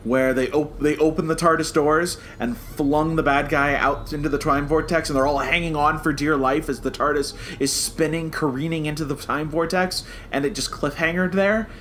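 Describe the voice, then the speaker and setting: A man with a slightly high-pitched voice talks as a podcast co-host. His review chat is casual and animated, and he readily acts out reactions. There is noticeable noise in the background. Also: normal speed, few pauses.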